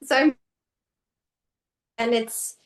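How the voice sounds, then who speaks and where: A woman's voice: a little high, drawn-out words. A woman guest on a podcast, telling stories casually with lots of fillers and little laughs. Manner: chatty, many fillers and laughs, lively quoted asides. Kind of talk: casual conversational storytelling. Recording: echoey room.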